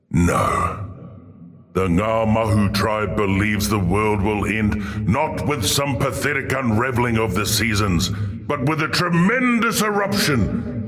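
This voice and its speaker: Slow, proud warrior spirit. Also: male voice, on the low side, animated, fairly bright tone. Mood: scornful, proud. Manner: measured, dramatic, proud. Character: proud fierce dead Karui warrior, hungry for conquest. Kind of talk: fantasy game character monologue.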